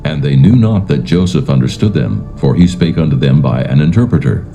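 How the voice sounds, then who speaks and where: A man narrating dramatised scripture in a solemn, measured, formal manner. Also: rumbling low, lively intonation, vocal fry. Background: faint music.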